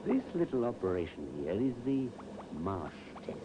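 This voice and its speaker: An actor playing a methodical country doctor with a measured, formal, precise manner in an old radio drama. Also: male voice, on the low side, sing-song. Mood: dry curiosity. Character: methodical country doctor, measured and quietly wry male professional. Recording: dull, noisy old radio recording.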